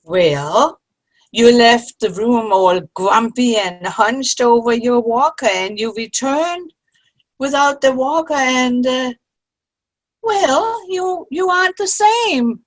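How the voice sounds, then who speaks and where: Wry, indulgent elderly girlfriend, played by a woman: high voice, unhurried, teasing, for a stage comedy reading. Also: lively intonation, poor audio. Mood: puzzled. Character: wry, indulgent old girlfriend at afternoon tea. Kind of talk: virtual play reading, comedy. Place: video call.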